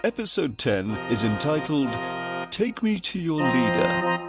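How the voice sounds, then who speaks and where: A man's voice: a little high, sing-song, measured pace. A man reading a tongue-in-cheek scripted introduction as the announcer of an audio drama. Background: music.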